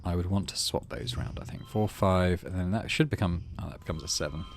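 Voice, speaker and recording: A man's voice: sits low, fairly quick, soft background music. A man hosting a gaming video, reading story text aloud and adding casual commentary as he plays.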